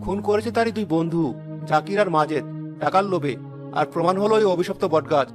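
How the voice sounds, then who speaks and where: A man's voice: high voice, a little fast, music in the background, lightly acted. A man playing an earnest young stranger seeking justice in a cartoon, persuasive and moralizing. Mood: solemn, righteous. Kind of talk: cartoon voice acting.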